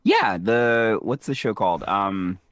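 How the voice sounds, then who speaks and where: A man's voice: on the low side, lively intonation, slow pace, fairly bright tone, dry recording, clean studio sound. A man from the American South co-hosting a podcast in casual, chatty film talk with a wry manner. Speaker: podcast co-host. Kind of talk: casual film recap chat.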